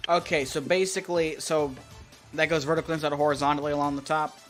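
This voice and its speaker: A man with a slightly high voice chats casually as he co-hosts a livestream. He sounds hesitant and thinks out loud on a close stand microphone, with faint music and keyboard typing behind him. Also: fairly even intonation, fast talker. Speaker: live streamer co-hosting. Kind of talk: casual chat. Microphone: close XLR microphone on a stand.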